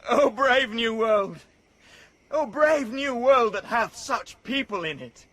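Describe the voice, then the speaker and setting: A man's voice: very high-pitched, expressive, slow, fairly bright tone, full character voice. An actor playing a lonely, earnest young man raised as an outcast, in a radio drama. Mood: awed. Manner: wistful, earnest, wonder-struck. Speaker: the Savage. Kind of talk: BBC radio drama acting.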